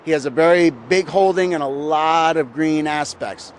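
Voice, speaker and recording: A man's voice: a little high, normal speed, a little hiss or hum. A man interviewed on a city street, rambling through his opinion and mimicking others mockingly. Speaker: passer-by interviewee.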